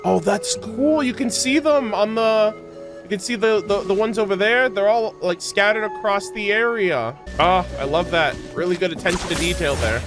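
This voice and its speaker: High-pitched male game streamer over music. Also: normal speed. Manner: slangy, talking to chat. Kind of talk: casual live gameplay commentary.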